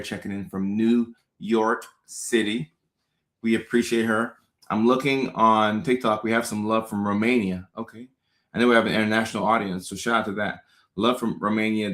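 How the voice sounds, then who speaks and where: A man in his thirties, a comedian born in Ghana, hosting a casual livestream, upbeat and repeating his thanks and shout-outs to viewers. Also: lowish voice. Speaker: comedian and show host. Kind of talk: casual livestream chat reading out viewer comments. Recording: roomy echo.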